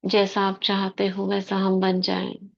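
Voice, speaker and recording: A woman guiding a spiritual meditation in slow, soft short phrases with long pauses. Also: medium pitch, completely flat delivery, gravelly, muffled recording. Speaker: meditation guide. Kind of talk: guided meditation commentary.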